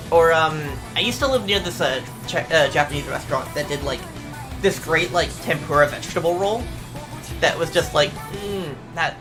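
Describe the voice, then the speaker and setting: A young adult VTuber streamer chatting casually and answering viewer questions, rambling with lots of ums and the odd swear. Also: mid-range voice. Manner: rambling, hesitant, many fillers, mild swearing. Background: music playing.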